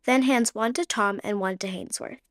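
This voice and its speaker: A woman's voice: mid-range voice, normal speed. A woman narrating a radio play in a plain storytelling manner.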